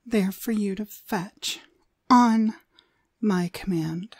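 A woman's voice: medium pitch, a little mellow, slightly rough, dry recording, studio quality. A woman playing a cruel, domineering demonic dog trainer in an ASMR roleplay, contemptuous and commanding in her delivery. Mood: hushed contempt. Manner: contemptuous, commanding, slow and deliberate. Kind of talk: ASMR roleplay, frequent breathy whispering.

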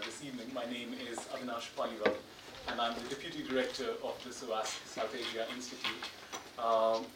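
A man in his thirties hosting and introducing a panel to an audience, informal and halting, often correcting himself. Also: mid-range voice. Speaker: academic host introducing panel. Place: large lecture theatre, indoors. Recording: echoey lecture hall, distant, noisy.

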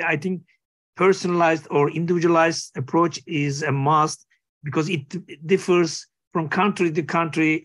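A middle-aged man, haltingly searching for words as a panelist on a video call. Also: on the high side, fairly bright tone. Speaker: physician panelist. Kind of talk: conversational panel discussion. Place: small book-lined room, video call.

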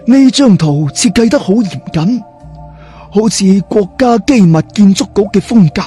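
A man playing a slow, high-voiced sly boss. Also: lively intonation, mellow, faint music behind. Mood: secretive, serious. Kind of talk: audiobook character dialogue.

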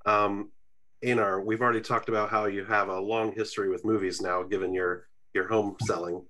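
A man's voice: fairly low pitch, level tone, normal speed, sharp tone, poor audio. A young man hosting an interview over a video call, asking friendly questions and laughing between them. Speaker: host, film reviewer. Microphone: webcam on video call.

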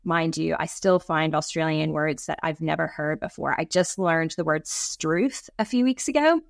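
An American woman in her thirties, a guest talking over a video call from a home office. She tells stories in a chatty, restarting way. Her voice sits a touch low and the sound is dry and close. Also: normal speed, creaky, dry recording. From United States. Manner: chatty storytelling, frequent restarts. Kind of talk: conversational interview.

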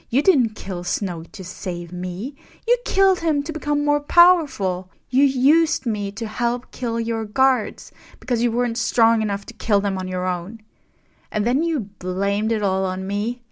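A woman voicing a betrayed young heroine who shouts accusations in a trembling voice. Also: a little high, pitched above the performer's own voice, natural voice.

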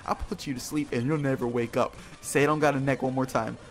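A man's voice: medium pitch, fairly even intonation, fast, lightly acted. A man voicing an angry, threatening little female ball creature from a game, in menacing slang.

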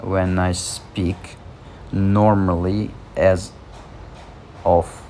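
A man's voice: low-pitched, level tone, very slow, warm tone, a little hiss or hum. A man with a Russian accent walking through an app tutorial, hesitant and full of fillers and restarts. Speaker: channel host. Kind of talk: screen-recorded app tutorial.

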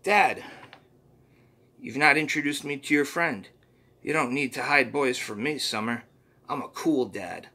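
A man's voice: mid-range voice, unhurried, long pauses, pushed and bright, voice pushed up. A man doing an awkward, trying-too-hard dad. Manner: awkward, trying to sound cool. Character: self-satisfied suburban dad.

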